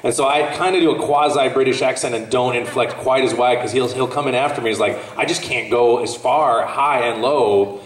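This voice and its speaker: A man with a lyric baritone voice, a voice actor joking and rambling in casual chat on a convention panel. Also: brisk. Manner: jokey, laughing, rambling. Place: large convention hall. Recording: echoey hall from far off.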